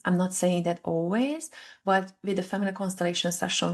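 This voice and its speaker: Gravelly Hungarian-accented woman explaining calmly. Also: lowish voice. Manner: explanatory, measured, with occasional hesitations. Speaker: guest, family constellation facilitator. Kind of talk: conversational podcast interview.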